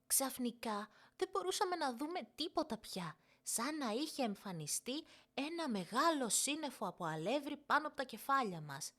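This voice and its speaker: Woman acting a high-pitched, bossy little big sister. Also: sing-song, a bit bright, heavily acted. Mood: excited fibbing. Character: quick-witted, bossy young big sister telling fibs.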